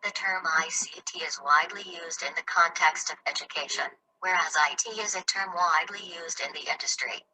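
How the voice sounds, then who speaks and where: Low-voiced woman narrating an explainer video. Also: brisk, hardly any pauses, a little hiss or hum, poor audio. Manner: even, reading out definitions.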